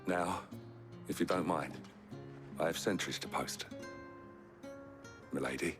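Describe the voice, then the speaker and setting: Male soldier: low pitch, gallant, practical, plain-spoken, game drama. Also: slow, music in the background. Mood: gallant, steady. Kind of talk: video game dramatic acting.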